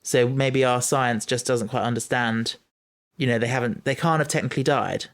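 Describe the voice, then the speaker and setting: A man's voice: medium pitch, moderate pace, fairly bright tone. A man co-hosting a casual podcast chat, thinking aloud with many restarts and stumbles. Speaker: podcast co-host.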